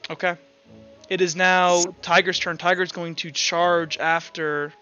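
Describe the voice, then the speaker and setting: A man running a tabletop roleplaying game, improvising his narration in a halting way and repeating words as he thinks aloud. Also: high-pitched, flat delivery, pushed and bright, smooth, dull audio, faint music behind. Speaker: game master.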